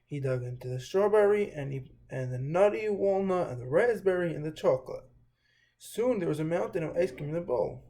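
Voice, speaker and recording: Male read-aloud host: mellow, creaky, fairly high voice, slightly halting children's storybook delivery. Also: lively intonation.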